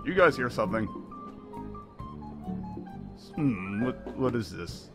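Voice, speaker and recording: A man's voice: medium pitch, expressive, moderate pace, vocal fry. A man voicing a whiny, exasperated pirate in an improvised comedy dub. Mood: puzzled. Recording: music under the dialogue.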